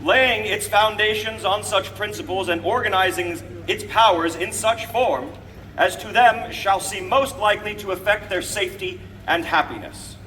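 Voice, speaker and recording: Stately colonial statesman played by a male reenactor, high forceful voice, formal theatrical proclamation, recorded outdoors from a distance. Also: noisy background, close to an everyday voice. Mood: rousing, proud. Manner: declamatory, deliberate phrasing, formal. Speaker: historical reenactor. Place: outdoors, speaking from a balcony to a gathering. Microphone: distant camera microphone.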